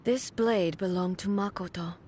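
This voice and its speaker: A woman voicing a stern, composed warrior goddess ruler in a game, speaking in a formal, measured and cool way. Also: lowish voice, a little mellow, soft background music, lightly acted. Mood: reflective. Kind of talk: game voice acting.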